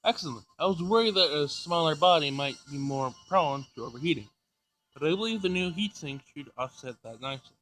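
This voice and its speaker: A man's voice: on the high side, animated, brisk, fairly bright tone, faint music behind, low-quality recording. A man voicing a proud, fussy robotics professor from a visual novel, chatty and opinionated. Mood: attentive. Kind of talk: visual novel character reading.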